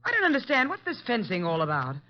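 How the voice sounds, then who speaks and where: A tart, defensive woman, swings widely in pitch as she speaks. It sounds like a dull, lo-fi old radio mystery. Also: wildly swooping pitch, fast talker. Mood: tart, suspicious. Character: tart, indignant, defensive woman under suspicion. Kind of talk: old-time radio detective drama acting.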